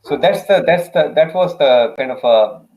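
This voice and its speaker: A man's voice: fairly high pitch, expressive, normal speed, darkish tone. A man whose first language is Urdu, speaking as a guest in a casual interview, hesitant with many fillers and restarts. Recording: tinny phone-like line.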